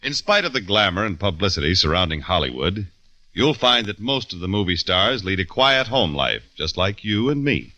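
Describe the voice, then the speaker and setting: A man announcing on old-time radio, reading sponsor copy and credits in a smooth, formal delivery. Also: low voice, big pitch swings, normal speed, bright, forceful tone, rough recording. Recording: old radio broadcast with music.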